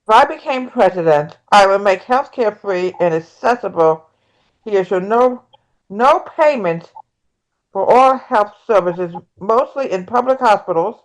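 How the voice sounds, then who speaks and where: A woman reading the part of an earnest progressive presidential candidate in an amateur play table read, with halting, repetitive phrasing. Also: medium pitch, dull audio, natural voice.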